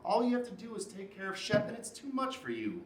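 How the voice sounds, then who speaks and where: An actor as an echoey, distant, goading husband. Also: male voice, high voice, sing-song, brisk. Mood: needling. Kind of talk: naturalistic relationship drama acting. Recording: echoey, distant mic, background noise.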